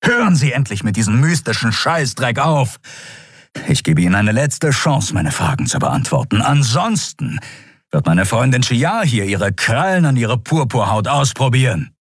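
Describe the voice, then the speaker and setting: A man's voice: medium pitch, big pitch swings, normal speed, husky, dry recording, clean studio sound, pitched above the performer's own voice. Man doing a furious admiral. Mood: angry. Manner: forceful, shouting, impatient. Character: furious human male admiral, commanding.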